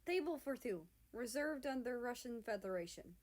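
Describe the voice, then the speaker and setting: A woman voicing a tall, gentle, devoted man with a soft, smooth and slightly formal manner in a story reading. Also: fairly high pitch, animated, moderate pace, pitched above the performer's own voice. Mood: gentle, composed.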